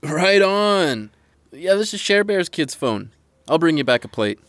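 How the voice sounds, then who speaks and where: A man's voice: on the high side, sing-song, measured pace, fairly bright tone. A man playing a cheeky, easygoing small-town guy in an audio drama, with a casual, teasing, folksy manner. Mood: casual, friendly. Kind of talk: naturalistic audio drama dialogue.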